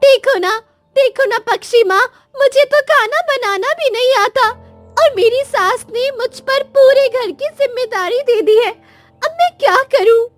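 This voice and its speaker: Woman voicing a very high, plaintive young orphan bride. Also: measured pace, faint music behind. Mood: worried, pleading. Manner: plaintive, pleading. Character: distressed young orphan daughter-in-law, meek, pleading, tearful. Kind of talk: cartoon moral story acting.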